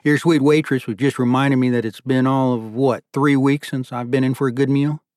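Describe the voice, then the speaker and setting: A man voicing a courteous, gently playful male regular customer in an audiobook story. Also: mid-range voice, steady tone, a little fast, no room sound, studio quality, natural voice. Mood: courteous, sincere.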